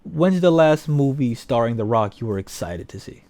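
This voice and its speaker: A man's voice: medium pitch, animated, moderate pace, darkish tone. A man co-hosting a casual film and video game chat, speaking in an opinionated, blunt way. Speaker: podcast co-host reviewing films.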